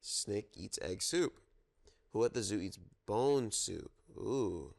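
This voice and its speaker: A man reads a children's book aloud in a fairly low voice with a lot of fry. He takes it very slowly, pausing to let listeners guess along with him. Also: animated, darkish tone. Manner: interactive, pauses to prompt listeners for guesses. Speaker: storybook reader at a children's story time.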